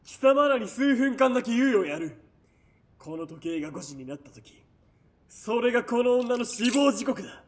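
A man's voice: very high-pitched, expressive, moderate pace. A man playing a sneering, scheming military police villain in an audio drama, taunting and theatrical. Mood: shouting, threatening. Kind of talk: Japanese audio drama acting.

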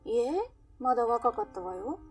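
A woman's voice: on the high side, normal speed, slightly rough, distant mic, soft background music. A woman playing a breezy, romance-loving working woman in a radio drama, self-assured and curious. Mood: chatty, breezy. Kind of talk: Japanese radio drama acting.

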